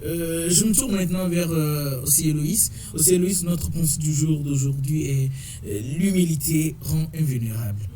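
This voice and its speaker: A man hosting a lively radio show, chatty and quick to laugh, with many fillers. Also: on the high side, distant mic, faint music behind. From Togo.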